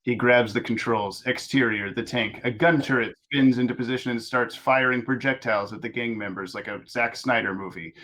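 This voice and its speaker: A man reading stage directions aloud as narrator at a table read of an animated script. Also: medium pitch, a little fast, few pauses, a little hiss or hum.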